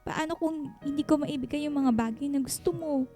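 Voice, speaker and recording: A woman's voice: sits high, brisk, mellow, faint music behind, natural voice. An actress playing a tender, insecure woman in a Filipino radio romance drama, with a hesitant, emotional delivery and a Filipino accent. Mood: vulnerable, anxious. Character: tender, insecure woman afraid to accept love.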